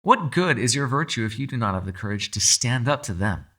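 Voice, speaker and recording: A man playing a captive mind-controlling being in an ASMR roleplay, calm, shrewd and persuasive, speaking in a measured, formal, quietly condescending way. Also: mid-range voice. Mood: pointed, persuasive. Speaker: voice actor. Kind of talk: ASMR roleplay, dramatic monologue.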